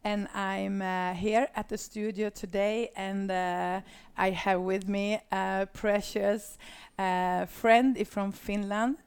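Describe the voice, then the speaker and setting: Slow-talking woman hosting an interview. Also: mid-range voice, little pitch movement, smooth. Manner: slow, hesitant, frequent "uh" and "mm-hmm". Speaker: interview host. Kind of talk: casual studio interview. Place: studio.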